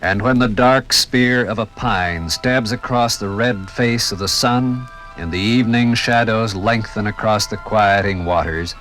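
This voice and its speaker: A man's voice: on the low side, measured pace, hardly any pauses, fairly bright tone, rough recording. A man giving a lyrical, dramatic narration in the style of a 1950s radio documentary. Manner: lyrical, rhetorical, dramatic phrasing. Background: music.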